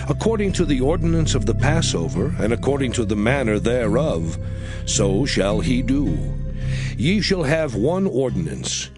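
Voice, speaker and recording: A man playing God as a solemn, commanding lawgiver in a dramatized scripture reading. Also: mid-range voice. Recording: music under the voice.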